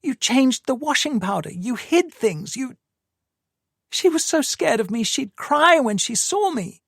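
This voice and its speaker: Done by a male narrator, a frantic young woman cries out in a voice pitched very high above his own, strained and tearful. Her words come thin and shrill with a changed, breathy timbre, and the reading sounds dry and close. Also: lively intonation, unhurried, fairly bright tone, no room sound, exaggerated voice. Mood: accusing. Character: distraught young woman, anguished and accusing. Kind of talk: audiobook dialogue.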